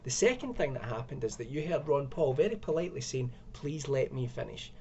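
A man's voice: medium pitch, expressive, a little fast, mellow, dull audio, slight background noise. A young man giving an exasperated, sarcastic commentary from a room at home, speaking into a webcam. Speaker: video commentator. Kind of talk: ranting video commentary.

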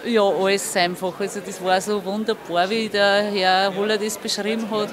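A woman's voice: medium pitch, level tone, normal speed. Middle aged upper austrian lady chatting in an interview, lots of crowd noise. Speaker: interviewee, event visitor. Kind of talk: casual interview. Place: crowded indoor event hall.

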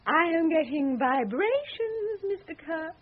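A woman's voice: extremely high pitch, very animated, unhurried, exaggerated voice. A woman acting in a radio drama as a scornful, exasperated wife with a mocking, cutting manner. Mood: mock spooky. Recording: tinny, band-limited radio drama recording.

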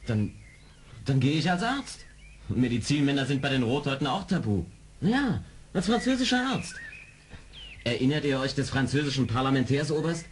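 A man's voice: mid-range voice, sing-song, moderate pace, pauses often, slight background noise, close to an everyday voice. A man as an earnest, determined army major. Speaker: actor in a radio play. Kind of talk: 1970s German audio drama acting.

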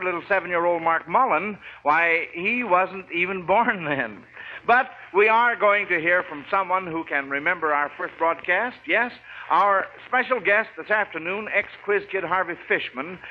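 An American man hosting a 1940s radio quiz show with folksy schoolteacher patter. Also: high-pitched. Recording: dull, noisy 1940s radio recording.

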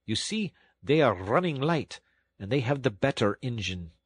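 A man voicing a stern but kindly train engine driver who speaks in a firm, plain way, in a husky voice. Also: medium pitch, normal speed, no room sound. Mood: stern then kind. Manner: steady, firm and plain-spoken. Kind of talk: audiobook storytelling.

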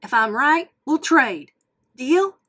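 A woman voicing an excitable, folksy male tow truck in a storybook read-aloud, loud and bouncy with country slang. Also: high voice, slow, voice pushed up, heavily acted. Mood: excited.

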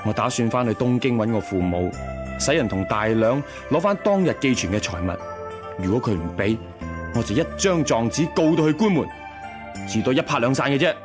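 An actor in a radio drama playing a lovelorn young son-in-law, sighing and pleading. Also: male voice, medium pitch, lively intonation, a little fast, over music, lightly acted. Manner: sighing, entreating. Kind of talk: Cantonese radio drama acting.

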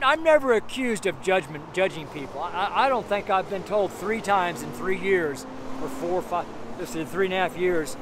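A middle-aged man preaching an outdoor street sermon, reading scripture slowly and repeating his points with frequent "right?" checks, recorded beside a busy road by a camera facing him. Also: high voice. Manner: emphatic, repetitive, keeps asking "right?"; reads scripture slowly and deliberately. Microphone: camera facing him at medium distance. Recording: outdoor traffic noise.

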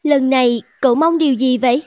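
Woman as high-voiced kindly cartoon fairy. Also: fairly even intonation, a little fast, noisy background, lightly acted. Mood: calm, caring. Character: kindly little green fairy granting wishes. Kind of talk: children's cartoon dubbing.

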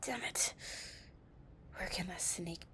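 Woman acting a low-voiced, anxious delinquent girlfriend. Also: slow pace, whispered. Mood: shaken, anxious. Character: possessive delinquent girlfriend, anxious, tender, tough. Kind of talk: intimate roleplay audio acting.

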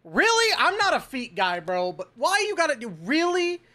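A man in his thirties giving excited, slangy, casual reaction commentary as a streamer, close on the mic. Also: very high-pitched, moderate pace. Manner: animated, exclamatory, slangy. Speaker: reaction video host, streamer. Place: small indoor home room with shelves. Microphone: close microphone on boom arm.

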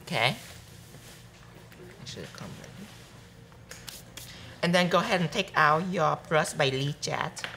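A young man teaching a live nail art workshop, chatty and repeating steps softly as he demonstrates. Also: fairly high pitch, lively intonation, measured pace, vocal fry, pauses often, a little hiss or hum. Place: bright studio classroom with students.

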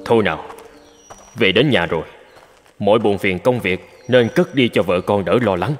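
A man's voice: on the low side, normal speed, lightly acted. An actor voicing a kind, hardworking peasant husband and father in a cartoon, earnest and reassuring. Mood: weary. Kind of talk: Vietnamese cartoon voice acting. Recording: loud background music. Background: music.

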